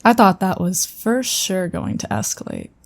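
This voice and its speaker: A woman's voice: on the low side, sing-song, normal speed. A woman playing an earnest, loyal female psion colleague who speaks directly. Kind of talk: fan audio drama acting.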